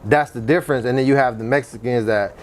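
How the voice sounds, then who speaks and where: Man, Oakland accent, mid-pitched voice, slangy casual chat, interview guest, noticeable background noise. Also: fast. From East Oakland, California. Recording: clear background noise, ambience.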